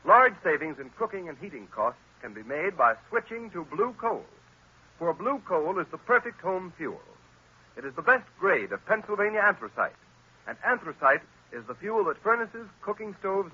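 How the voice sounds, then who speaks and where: A man's voice: high voice, big pitch swings, moderate pace, sharp tone, breathy edge. A male announcer reading a persuasive sponsor message on an old radio program. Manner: persuasive, emphatic. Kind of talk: radio commercial read. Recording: dull lo-fi old radio recording.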